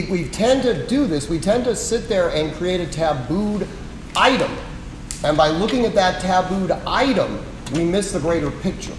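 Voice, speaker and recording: A man moderating a panel discussion, conversational and emphatic as he makes his point. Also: fairly high pitch. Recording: echoey hall with background noise.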